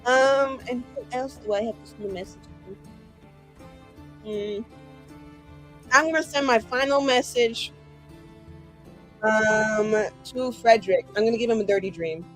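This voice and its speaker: Female tabletop player, high voice, casual improvised narration, light music bed. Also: measured pace, pauses often.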